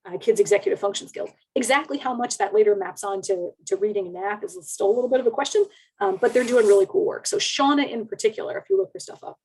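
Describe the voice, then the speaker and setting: A woman's voice: medium pitch, quick pace, a little mellow, breathy edge, rough recording. A woman speaking as an education researcher on a webinar, explaining things informally in casual wording.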